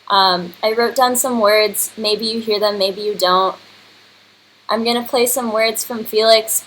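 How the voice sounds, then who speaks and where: Female host, early 20s, higher-pitched, casual and effusive, slight background noise. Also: a bit bright. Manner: effusive, informal. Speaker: host and curator. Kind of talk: casual unscripted livestream address. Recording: clearly noisy home computer livestream.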